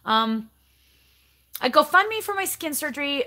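A woman in her forties with a raspy voice, chatting casually to the camera as she answers viewer comments and rants a little, recorded up close in her bedroom. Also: sits high, measured pace. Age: 40s. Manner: animated, ranty. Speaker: vlogger. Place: bedroom at home, indoors. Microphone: camera close in front.

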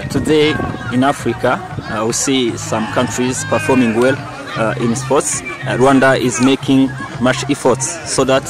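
A man working as an interviewer, putting questions in a hesitant, halting way. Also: medium pitch, steady tone, crowd noise. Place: outdoors in a football stadium. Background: music.

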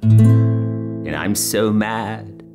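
A man's voice: on the low side, over loud music. A man voicing a sulky, self-pitying prophet in a musical storybook tale, whining and drawing out his complaints melodramatically. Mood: petulant, angry. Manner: whining, melodramatic, drawn-out complaints, bursting into song. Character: Jonah, sulky, dramatic, self-pitying prophet sulking in the heat.

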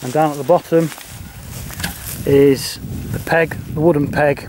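A man's voice: fairly high pitch, fairly even intonation, unhurried. A middle-aged man giving a casual how-to demonstration to camera outdoors, picked up by a camera microphone. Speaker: bushcraft and radio hobbyist presenter. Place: open grassy moorland outdoors. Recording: loud wind noise on outdoor microphone. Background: wind noise.

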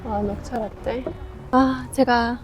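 High-voiced woman chatting over music. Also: fairly even intonation, takes it slowly. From North Korea. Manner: informal, often laughing while talking. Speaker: vlogger. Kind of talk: casual chat with friends.

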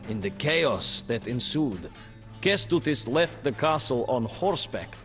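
An actor narrating a game's historical campaign as a male chronicler, in slow, measured scripted storybook narration. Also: mid-range voice, animated, fairly bright tone. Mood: grave. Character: male captive chronicler telling a war tale. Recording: studio narration over faint music.

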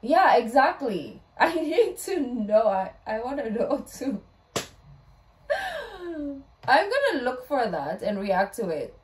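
A woman in her twenties drawls her reactions slowly, her pitch a bit high and swinging widely. She chats casually in an exclamatory way from a bedroom, speaking to a laptop. The room sounds echoey around her. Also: very animated. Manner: chatty, exclamatory interjections. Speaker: reaction video host.

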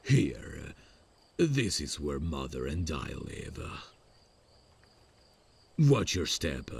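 Very deep, gravelly, lovesick slasher killer played by a man. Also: slight background noise, heavily acted. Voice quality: gravelly, husky low voice. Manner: halting, giggly, shy, slow. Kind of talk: ASMR horror-parody roleplay. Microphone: close dry microphone.

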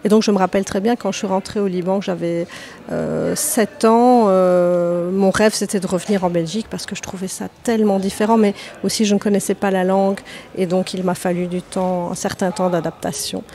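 A woman's voice: mid-range voice, fairly even intonation, fairly quick, mellow. A woman in her thirties from Lebanon talks about her writing in a podcast interview. She hesitates often, restarting phrases and filling gaps with small sounds, and her voice sits in a middle register. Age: 30s. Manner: hesitant, many fillers and restarts. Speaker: author guest.

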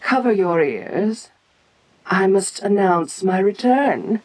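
Woman acting as huge ancient kaiju queen for audio roleplay, bossy n teasing, breathy husky voice, takes her time. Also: medium pitch, animated, slow pace, a little mellow, low-quality recording. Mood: regal, wistful. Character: ancient domineering giantess kaiju queen, teasing but protective.

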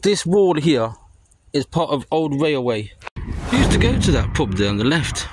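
A man, chatting casually and excitedly to camera as an exploring vlogger. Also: fairly high pitch, normal speed. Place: outdoors on streets and woods, later inside a tunnel. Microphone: handheld camera or phone at arm's length. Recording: noisy handheld recording on location.

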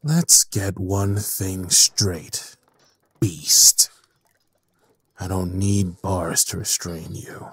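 A man playing a sadistic, mocking evil scientist in an audio drama, with a theatrical, measured delivery. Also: low voice, a bit bright, breathy edge, no echo, exaggerated voice. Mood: menacing.

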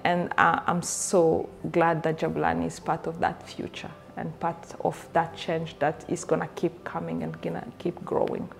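A woman's voice: sits low, completely flat delivery, normal speed, gravelly, few pauses. A middle-aged woman from Rwanda speaking earnestly to camera in an interview, repeating phrases for emphasis. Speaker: coffee company co-founder. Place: indoor coffee shop.

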